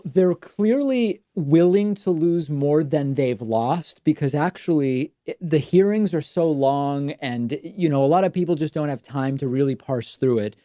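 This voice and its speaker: A man's voice: fairly high pitch, dark tone, no room sound, clean studio sound. A man hosting a live call-in talk show, explaining his views in an opinionated way. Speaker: host.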